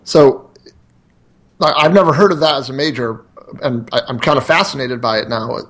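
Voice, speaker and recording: A man's voice: medium pitch, normal speed, a bit bright. A man from central Connecticut co-hosting a podcast, asking guests friendly, joking follow-up questions in a casual way. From central Connecticut, USA. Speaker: podcast co-host. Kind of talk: casual interview chat.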